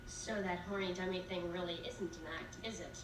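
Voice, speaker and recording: A woman's voice: on the low side, steady tone, a little fast, background noise, natural voice. Quippy young slayer, played by a woman, distant. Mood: defiant. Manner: wry, sarcastic banter. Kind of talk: television drama acting. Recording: roomy, distant playback with music behind.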